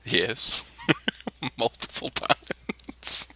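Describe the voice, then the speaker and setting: A man's voice: drawn-out words, pushed and bright, a little hiss or hum. A man chatting casually and swearing freely as a player in a tabletop game. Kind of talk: casual chat.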